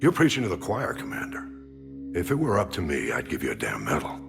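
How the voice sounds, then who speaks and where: An actor playing a gruff older military admiral in a video game, speaking in a measured, grave, authoritative way. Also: male voice, very deep voice, wildly swooping pitch, over music, heavily acted. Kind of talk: video game dramatic acting.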